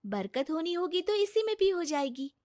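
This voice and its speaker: A woman voicing a gentle, contented young housewife in a cartoon story, speaking softly and politely. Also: very high voice, very animated, moderate pace, no room sound, studio quality, voice pushed up. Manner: soft, polite, sweet.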